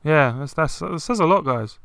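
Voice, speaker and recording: A man's voice: on the high side, lively intonation, normal speed, gravelly, fairly bright tone, dry recording. A young man co-hosting a casual football chat, talking loosely with many fillers. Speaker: podcast co-host.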